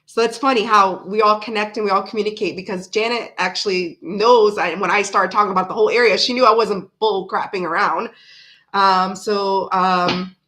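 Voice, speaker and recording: A woman's voice: mid-range voice, steady tone, moderate pace, pushed and bright. A woman in her forties, her accent sitting between American and Canadian, rambles easily through a casual livestream chat. She talks in loose runs with many "ums" and restarts, like someone answering viewers late into a long session. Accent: mixed American and Canadian. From Canada, raised in South Florida. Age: 40s. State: tired. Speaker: livestream host. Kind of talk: casual chat reading live comments.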